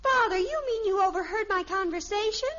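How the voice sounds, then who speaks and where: Headstrong American sitcom teenage girl, high, theatrical, on a muffled old radio recording. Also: fairly quick, a bit bright. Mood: pleading. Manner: earnest, melodramatic. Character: dramatic, lovestruck, headstrong teenage daughter. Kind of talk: 1950s radio sitcom acting.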